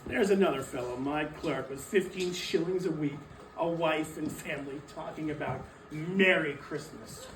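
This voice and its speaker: A bitter, miserly old man acted by a man on stage with a slightly high voice, curt and scornful in a dramatic Victorian reading. He is picked up from a distance in an echoey room. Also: normal speed, slight background noise, natural voice. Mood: cold, dismissive. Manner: snapping, dismissive, emphatic.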